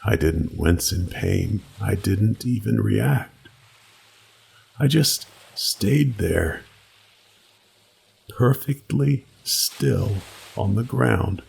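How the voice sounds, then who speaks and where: A man narrating a scripted first-person horror story with deliberate, dramatic pauses. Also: lowish voice, creaky, a little mellow, husky, poor audio.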